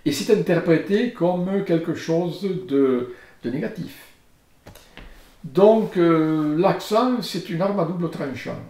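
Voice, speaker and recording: A man's voice: a little high, slow, darkish tone. Older man with a southern French accent. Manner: thoughtful, gesturing, frequent hesitations. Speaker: archaeologist. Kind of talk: filmed interview, reflective. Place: study lined with bookshelves, indoors. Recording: roomy study sound.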